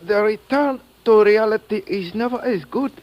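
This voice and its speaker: An actor playing a hesitant, deferential foreign side character in a 1950s radio drama, with halting formal phrasing and a creaky voice. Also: male voice, high voice, darkish tone, natural voice. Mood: drowsy, reluctant. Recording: dull, muffled old radio recording.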